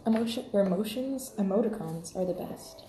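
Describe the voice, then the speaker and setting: Mellow woman chatting in a roomy space. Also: medium pitch, fairly quick. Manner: friendly, rambling, talking half to herself. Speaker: livestream host, podfic reader. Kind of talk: casual livestream chat with listeners. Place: indoor room at home, rain outside. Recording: roomy echo, faint background noise.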